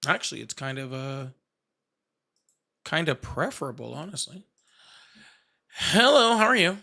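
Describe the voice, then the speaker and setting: Man, 30s, call-in stream host, animated pitch, bright tone, blunt and joking. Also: creaky. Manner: blunt, joking, quick to challenge callers. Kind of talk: casual live call-in chat.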